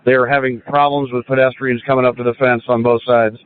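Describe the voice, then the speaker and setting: A man's voice: medium pitch, level tone, quick pace, clear, resonant tone, no echo. A man working as a police officer, relaying procedural messages over a narrowband two-way radio.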